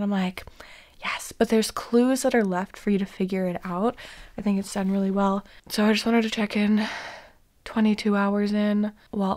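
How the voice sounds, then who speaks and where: A woman with a hoarse voice, worn out from staying awake all night, gives a casual vlog update at home. She talks in a tired, rambling half-whisper so she won't wake anyone. Her pitch sits in an ordinary middle range. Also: darkish tone. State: exhausted from no sleep. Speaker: book vlogger.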